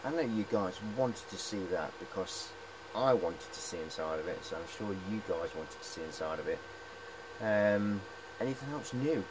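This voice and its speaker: A man's voice: fairly low pitch, animated, moderate pace. A man in his forties chatting casually and hesitantly as he shows equipment, rambling in a matey way, recorded on a handheld camera in a workshop. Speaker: hobbyist showing high-voltage equipment. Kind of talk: casual hands-on show-and-tell chat. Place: cluttered indoor workshop. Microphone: camera at arm's length. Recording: handheld camera, clear background noise.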